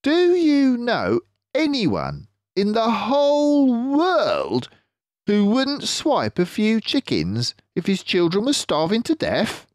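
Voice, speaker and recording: A man voicing a cocky, suave fox who leads the others, with theatrical, drawn-out emphasis, in a children's storybook reading. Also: high voice, wildly swooping pitch, no room sound, studio quality, voice pushed up, exaggerated voice. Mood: persuasive. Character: cocky, suave male fox, sly leader and provider.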